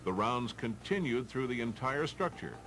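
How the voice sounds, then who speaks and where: A man's voice: mid-range voice, sing-song, normal speed, fairly bright tone, faint music behind. A man with an American accent reads formal, matter-of-fact instructional narration for a training film.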